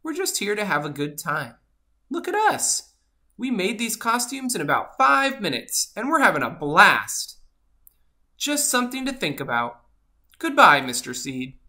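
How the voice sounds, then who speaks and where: A woman voicing a kind, earnest pumpkin seed in a children's storybook, polite, expressive and encouraging. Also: mid-range voice, measured pace. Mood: reassuring. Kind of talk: animated children's storybook read-aloud.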